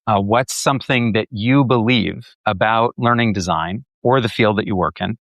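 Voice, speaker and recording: A man's voice: lowish voice, normal speed, fairly bright tone, dry recording. A man hosting an interview podcast, friendly and affirming, putting questions to his guest and wrapping up. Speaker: podcast host.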